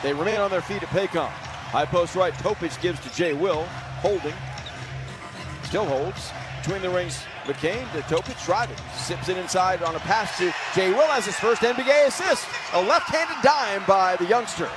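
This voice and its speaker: A man's voice: high voice, normal speed. An American man giving live basketball radio play-by-play in clipped present-tense phrases. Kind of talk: live sports commentary.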